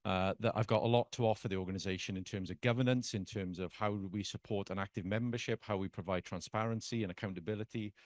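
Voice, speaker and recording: A man in his forties with a South Welsh accent, earnestly pitching himself as a trustee candidate at a members' meeting. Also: steady tone, fast, hardly any pauses, no room sound. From South Wales. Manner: earnest, with frequent "uh" fillers. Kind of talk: live speech at a meeting.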